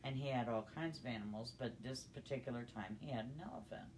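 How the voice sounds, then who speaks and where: An older woman telling a personal story in a conversational, halting, unhurried way. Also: rumbling low, darkish tone, slight background noise, poor audio. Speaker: storyteller grandmother.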